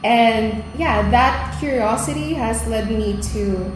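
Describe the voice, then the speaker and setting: A woman from the Philippines answers interview questions in a measured, composed way. Her voice sits a little high and her pace is unhurried. It sounds like a very echoey room with faint music underneath. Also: slight background noise. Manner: careful, composed, somewhat formal phrasing. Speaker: language assistant. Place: school room.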